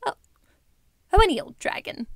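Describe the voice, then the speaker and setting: A woman's voice: normal speed, dry recording, clean studio sound, close to an everyday voice. A woman playing a wealthy, imperious widow in an audio drama, with a formal, commanding manner. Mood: self-satisfied. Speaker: actor in a drama.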